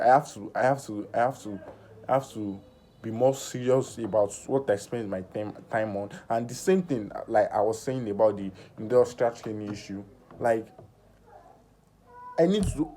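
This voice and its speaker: A nineteen-year-old man talking through a personal vlog in earnest self-talk, halting with many fillers and restarts. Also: mid-range voice, mellow, slight background noise. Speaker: vlogger.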